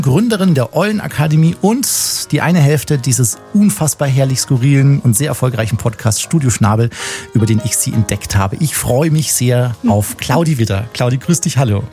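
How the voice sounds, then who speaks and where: A man hosts an interview podcast with a mellow, darker voice. He opens in a lively, rhetorical storytelling way and then settles into easy, conversational questions. Faint music sits quietly beneath him. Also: mid-range voice. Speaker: podcast host. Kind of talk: conversational interview with scripted intro.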